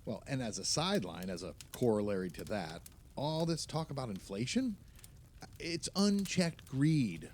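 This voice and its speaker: Actor as a casual male friend, lively intonation. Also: medium pitch, moderate pace, slight background noise. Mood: indignant. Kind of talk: radio play dialogue, conversational.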